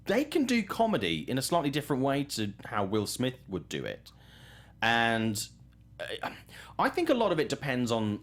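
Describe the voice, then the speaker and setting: A man hosting a talk show, giving conversational film commentary and thinking aloud as he goes. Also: mid-range voice.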